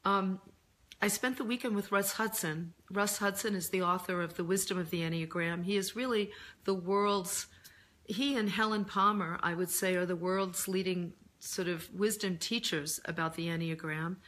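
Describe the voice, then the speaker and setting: A middle-aged woman with a hoarse, deeper-than-usual voice from laryngitis, hosting a casual live chat and answering readers' comments in a gentle, reflective way with many pauses and ums. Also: steady tone.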